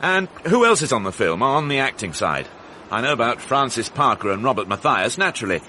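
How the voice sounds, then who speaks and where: An actor playing a wry, courteous British police inspector with probing questions in a radio mystery drama. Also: male voice, mid-range voice, very animated, brisk, bright, forceful tone, a little hiss or hum. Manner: courteous, dryly ironic, probing.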